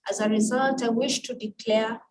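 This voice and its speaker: Noisy distant recording, woman, Kenyan accent, Luo first language, formal speech, measured and emphatic delivery. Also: fairly high pitch, fairly even intonation. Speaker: guest speaker at an international women's event. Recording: distant mic with clear background noise.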